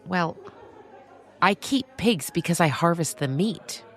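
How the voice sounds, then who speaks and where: A woman's voice: on the low side, lively intonation, takes it slowly, fairly bright tone, pitched above the performer's own voice. A woman voicing a frank, heartfelt farmer in an audio story. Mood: matter-of-fact. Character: frank, earnest adult woman goat-and-pig farmer.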